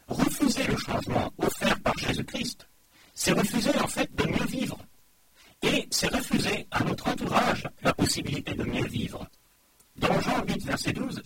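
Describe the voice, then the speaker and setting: A middle-aged man preaching a sermon in a measured, explanatory way, often quoting other voices. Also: very high-pitched. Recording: loud background noise.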